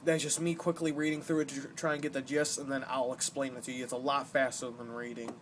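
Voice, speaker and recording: A young man giving casual gameplay commentary, explaining things in a halting, rambling way as the host of a gaming video. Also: medium pitch, little pitch movement, fairly bright tone. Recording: noisy amateur home recording.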